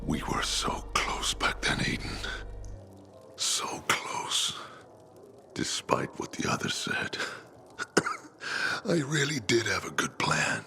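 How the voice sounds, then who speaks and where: An actor playing a broken, bitter older former squad leader in a video game, with a gravelly, breathy rasp and a slow, halting way of talking. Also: male voice, sits low, sing-song, bright, forceful tone, in a whisper, soft background music, exaggerated voice. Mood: bitter regret.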